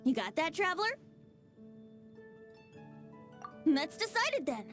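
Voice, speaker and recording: A woman's voice: very high-pitched, big pitch swings, a little fast, faint music behind. A woman voicing a playful, roguish young male bard in an anime video game, breezy, theatrical and pleased with himself. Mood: cheerful, coaxing. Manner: breezy, self-satisfied, theatrical.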